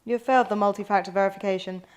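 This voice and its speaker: A woman playing a rigid, literal-minded security robot in a comedy table read, speaking in flat procedural phrases. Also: mid-range voice, racing, lightly acted. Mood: officious, unyielding. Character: rigid officious security robot checking identity.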